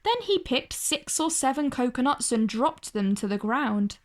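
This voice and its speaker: A woman storyteller reading a picture-book tale aloud for children in an expressive narrating style. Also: high voice, measured pace.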